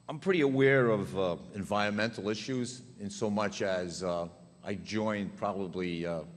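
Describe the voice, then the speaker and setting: A man's voice: low voice, a little hiss or hum. A retired older man speaking during public comment at a town council meeting, rambling through his credentials and drifting off topic. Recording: echoey hall.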